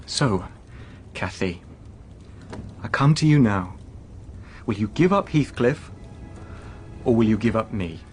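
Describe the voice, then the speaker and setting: An actor playing a stern, formal gentleman husband in a period radio drama, restrained but indignant. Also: lowish voice, expressive, slow, pauses often, faint music behind. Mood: controlled, reproachful.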